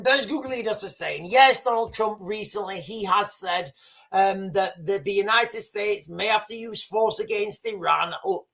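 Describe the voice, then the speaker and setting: A man's voice: high-pitched, fairly bright tone. Man, forties, live-stream host, rambling, repetitive, casual. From United Kingdom. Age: 40s. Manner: rambling, repeats himself, keeps calling viewers "guys". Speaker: livestream host.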